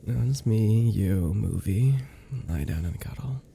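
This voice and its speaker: A man playing an affectionate, teasing boyfriend in an intimate ASMR roleplay, with a soft, playful, drawn-out delivery. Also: lowish voice, lively intonation, vocal fry, slight background noise. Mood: warm, cozy. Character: affectionate, teasing new boyfriend cuddling his girlfriend.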